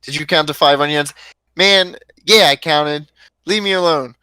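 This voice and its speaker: Guy in his late twenties, jokey streamer, bright clean voice, no room sound. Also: a little high, normal speed, clean tone, no echo. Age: 20s. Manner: joking, teasing. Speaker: streamer host. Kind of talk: casual livestream chat.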